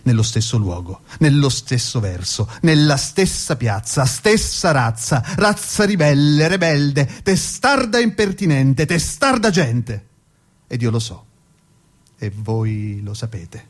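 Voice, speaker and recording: A man reciting a poem on the radio in a slow, rhythmic, emphatic way. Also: medium pitch, sing-song. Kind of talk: poetry recitation. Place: radio studio.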